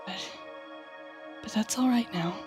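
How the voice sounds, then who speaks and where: A woman playing an intense, coaxing female telepathic villain with a secretly tender side in an intimate audio roleplay, speaking softly and often whispering with deliberate dramatic pauses. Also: mid-range voice, close to an everyday voice. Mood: tender, comforting. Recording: background music under the voice.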